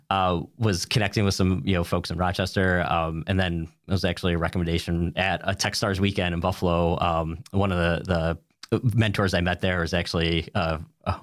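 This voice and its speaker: A man's voice: sits low, no room sound, studio quality. An American man answering casually as an interview guest, rambling along with plenty of "you know" and "like". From United States, upstate New York.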